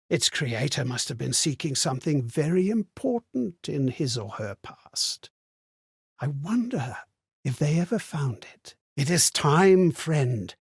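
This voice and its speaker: Casting: a wistful, memory-lost ghostly knight for a fantasy game; raised pitch, husky, solemn and old-fashioned, very dry sound. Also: male voice, dry recording, full character voice. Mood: pensive, then resolved. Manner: solemn, archaic, ponderous phrasing. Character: haunted, amnesiac former Templar, wistful ghostly man. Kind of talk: dramatic video game character monologue.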